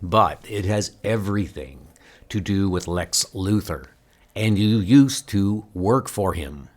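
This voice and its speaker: Man playing a determined, slow-talking male superhero. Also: deep voice, animated, voice pushed down, heavily acted. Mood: insistent. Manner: earnest, insistent. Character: determined, anguished male superhero searching for his niece. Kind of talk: comic book dub acting.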